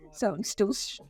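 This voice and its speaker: Chatty woman in her forties, dry sound. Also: no room sound. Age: 40s. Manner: animated storyteller who laughs often and restarts sentences. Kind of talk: casual conversational interview.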